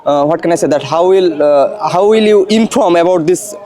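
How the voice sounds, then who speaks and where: A man's voice: fairly high pitch, normal speed, crowd noise. A man whose first language is Bengali, leading a spoken English practice conversation, hesitant and repeating phrases as he searches for words. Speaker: English teacher.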